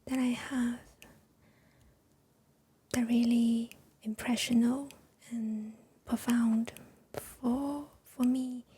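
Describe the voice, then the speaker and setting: Woman whispering asmr real slow, breathy airy voice, chinese speaker, lots of little pauses. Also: fairly high pitch, little pitch movement. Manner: soft unvoiced whisper with many pauses and fillers. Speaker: ASMR creator.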